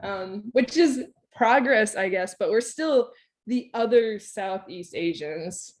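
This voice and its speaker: A woman's voice: medium pitch, animated, slow. A woman in her twenties who grew up speaking Thai, giving a casual, candid talk in a lecture series with frequent ums and likes. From United States. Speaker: staff researcher giving a lecture.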